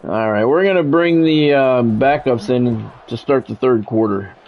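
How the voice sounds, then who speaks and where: A man's voice: medium pitch, a little slow, narrow, tinny audio. An American man doing football play-by-play on a gaming stream, mixed with casual chat. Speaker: gaming streamer calling play-by-play of own game; former radio sports play-by-play announcer.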